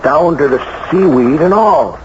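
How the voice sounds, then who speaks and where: An actor playing a shrewd, steady American small-town sheriff in a radio mystery, folksy and deliberate. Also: male voice, a little high. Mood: pensive. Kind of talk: radio mystery drama acting. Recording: dull, noisy old radio broadcast.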